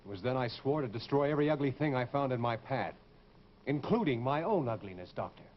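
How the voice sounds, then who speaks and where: A man's voice: medium pitch, lively intonation, a little fast, slight background noise, close to an everyday voice. Man acting cold, menacing man, muffled old recording. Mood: brooding, bitter. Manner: cold, formal, insistent. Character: bitter, menacing, secretive former disfigured patient. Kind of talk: old-time radio horror acting.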